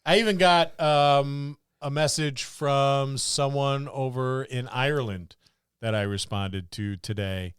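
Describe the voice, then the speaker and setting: Clear-voiced man chatting as podcast co-host. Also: medium pitch, takes it slowly, no echo, clean studio sound. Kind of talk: casual podcast chat about tabletop gaming.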